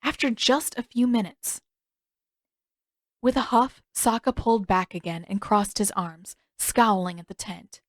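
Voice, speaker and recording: A woman's voice: mid-range voice, unhurried, no room sound. Woman narrating fiction, close and dry. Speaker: narrator and author. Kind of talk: expressive scripted fiction narration.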